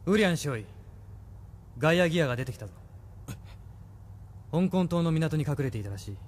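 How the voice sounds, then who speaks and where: A man's voice: a little high, lively intonation, fairly quick. A man playing a smug, self-assured military major in an audio drama, speaking with condescending authority. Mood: taunting.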